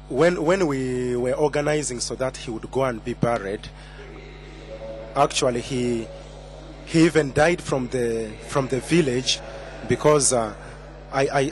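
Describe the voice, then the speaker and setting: A young man from Uganda tells his story at a podium microphone, stopping often to restart his sentences. His voice sits at a middling pitch, and a faint hum runs underneath. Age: 20s. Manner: hesitant, many fillers and restarts. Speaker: conference speaker. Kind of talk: personal testimony.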